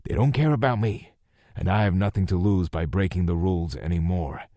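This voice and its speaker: A man's voice: sits low, a little fast, warm tone, no echo. A man voicing a ruthless, sarcastic male officer who barks commands. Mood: menacing. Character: gruff hard-edged male officer, ruthless.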